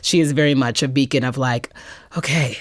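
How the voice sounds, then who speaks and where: A woman's voice: very deep voice, steady tone, fairly quick, no room sound, studio quality. A woman speaking casually as an interview guest, conversational and peppering her talk with like and you know. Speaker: guest playwright and performer.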